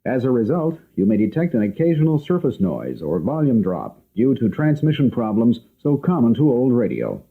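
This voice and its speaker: A man's voice: medium pitch, huge pitch swings, moderate pace, few pauses, breathy edge. A man reading a scripted radio introduction as a formal announcer. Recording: muffled, narrow-band lo-fi audio.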